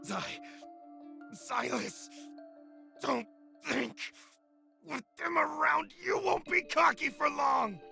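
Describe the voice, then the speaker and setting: An actor playing a defiant, wounded male traitor in a video game, his voice strained and gravelly with agony. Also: extremely high pitch, slow, pauses often, pushed and bright, faint music behind, exaggerated voice. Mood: pained defiance.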